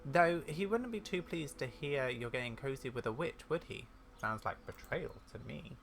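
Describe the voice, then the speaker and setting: A gamer voicing a loyal, defiant heroine rider from a fantasy game's dialogue, brisk in manner. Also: mid-range voice, lively intonation, gravelly, a little hiss or hum. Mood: scornful. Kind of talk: reading fantasy game dialogue aloud.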